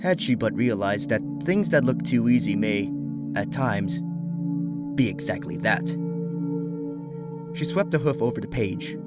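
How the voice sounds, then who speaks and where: A man's voice: lowish voice, animated, measured pace, long pauses. A man reading a fan fiction story as a scripted narrator. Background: background music.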